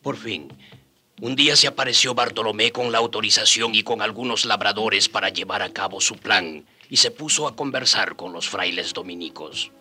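A man's voice: fairly low pitch, sharp tone, breathy edge, full character voice. A man playing a grieving, haunted survivor who narrates sorrowfully and despairs bitterly in a radio drama. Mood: wistful, reminiscing. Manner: slow, sorrowful storytelling breaking into anguished outcry. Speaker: narrator and character actor. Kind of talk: radio drama first-person narration and acting. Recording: radio drama with background music.

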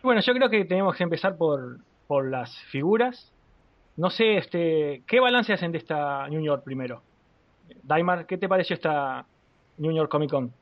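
A man's voice: a little high, lively intonation, moderate pace, no room sound, dull audio. A man from Argentina with an Argentine accent, co-hosting a casual podcast and asking each guest in turn, with many fillers. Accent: Argentine Spanish. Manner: leads the talk, asks others in turn, many fillers. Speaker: podcast co-host.

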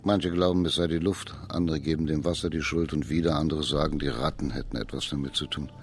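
Grave, low-voiced judge. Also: male voice, fairly even intonation, fast, slight background noise. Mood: somber, weary. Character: grave, burdened judge and emergency governor of imperial China. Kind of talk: German radio play acting.

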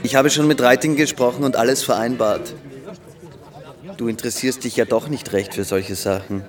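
An actor playing a cool, commanding teenage military cadet around 1900 in a radio drama, sounding slightly distant. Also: male voice, mid-range voice, fairly even intonation, normal speed, faint music behind, close to an everyday voice. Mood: dismissive.

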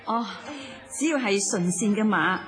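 A woman acts out a matter-of-fact companion for radio drama. Her medium-pitched voice is composed and direct, nudging with questions over a light music bed. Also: moderate pace, fairly bright tone, close to an everyday voice. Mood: prompting. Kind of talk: Cantonese radio drama acting.

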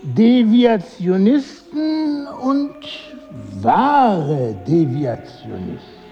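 A high male voice plays a pedantic, eccentric dissenter in a radio drama. He draws out his explanations very slowly. The sound is slightly dull, with faint music behind. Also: big pitch swings, full character voice. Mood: pedantic, plaintive. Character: hanged eccentric deviationist, pedantic about his principles. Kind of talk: literary radio drama acting.

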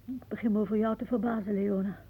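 A woman's voice: medium pitch, fairly even intonation, fast, breathy edge, lightly acted. An actress playing an anxious, indignant older lady with a prim, questioning manner in a radio play. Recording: muffled, narrow old radio recording.

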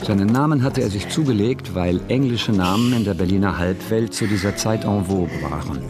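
Schauspieler als tiefer, trockener Erzähler mit Musik. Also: male voice, fairly low pitch, natural voice. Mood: wry, descriptive. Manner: measured, dry, literary. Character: observant, wry male narrator of a literary memoir. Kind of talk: scripted narration in radio drama. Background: music.

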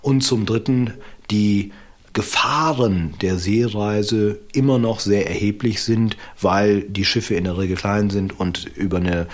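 A man explains history as an interviewed expert. His voice is low and somewhat husky. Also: steady tone, a little slow.

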